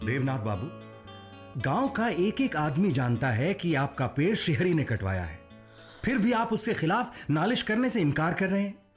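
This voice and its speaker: An actor in a radio play playing an earnest idealist with a persuasive, declamatory delivery. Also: male voice, on the high side, sing-song, moderate pace, soft background music. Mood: urging. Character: earnest idealist urging a friend to resist injustice. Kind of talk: Hindi radio play acting.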